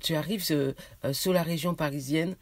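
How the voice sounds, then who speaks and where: A woman's voice: deep voice, normal speed, no echo. A woman hosting a radio program, reading a scripted introduction and then prompting her guest with short questions. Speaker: radio show host. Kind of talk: radio interview. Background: music bed.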